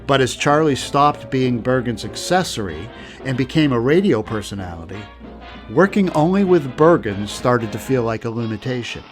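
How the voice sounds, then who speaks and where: A man's voice: medium pitch, expressive, normal speed, soft background music. A man reading scripted documentary narration as the narrator.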